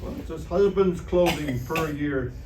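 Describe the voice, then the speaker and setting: A man playing a gullible, excitable, wisecracking husband in an old-time radio comedy, with a folksy, stammering, exclamatory delivery. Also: a little high, measured pace, lightly acted. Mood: excited. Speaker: amateur theater troupe actor. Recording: roomy, distant stage recording with faint noise.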